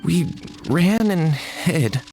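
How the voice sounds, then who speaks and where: A timid, gloomy tanuki spirit from a video game. The mid-pitched voice is creaky and drags along slowly, rising and falling expressively. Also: female voice, animated, mellow, soft background music, full character voice. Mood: fearful, weary.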